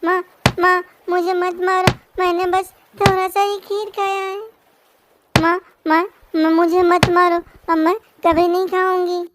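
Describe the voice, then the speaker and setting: A tiny bird girl from a cartoon, with an extremely high voice. She pleads plaintively and slowly, like a mistreated small daughter. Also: little pitch movement, background noise. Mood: frightened, begging. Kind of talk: Hindi moral-story cartoon dub.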